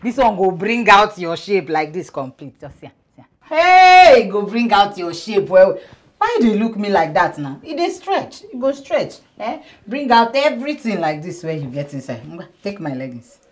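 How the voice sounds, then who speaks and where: An actress plays a sassy Nigerian wife in a film comedy. She is playful and emphatic, with lively intonation and a mid-range voice. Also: mid-range voice, normal speed, lightly acted. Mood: teasing. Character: sassy, teasing wife bossing her man. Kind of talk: film acting in Nigerian Pidgin.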